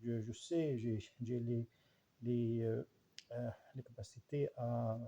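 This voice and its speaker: A man with an Arabic accent and an Arabic dialect as his first language reflects informally, haltingly searching for words and repeating himself. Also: medium pitch, steady tone, dark tone, dull audio, a little hiss or hum. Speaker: language researcher and writer.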